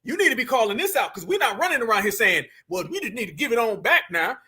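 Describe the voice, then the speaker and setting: A man with a high, bright, forceful voice delivers reaction commentary. He talks straight to his viewers, fired up and hammering his points home. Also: big pitch swings, fast. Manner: fired-up, addresses audience directly as "fam" and "y'all". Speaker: online commentator.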